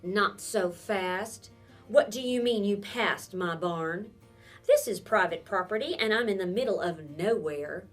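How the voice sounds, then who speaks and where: A blunt, wary middle-aged woman with a wooden leg, put on by a woman narrator presses with sharp, demanding questions. Her voice sits in a middle pitch and comes from a little away from the mic. Soft instrumental music plays faintly underneath. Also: a little slow, distant mic, lightly acted. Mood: suspicious.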